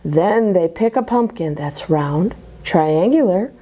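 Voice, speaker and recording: Lady reading a kids' rhyming story real slow, lots of expression and little whispers. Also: fairly low pitch, slight background noise. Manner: expressive rhyming read-aloud with dramatic whispers. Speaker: children's storyteller. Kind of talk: reading a picture book aloud to children. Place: church.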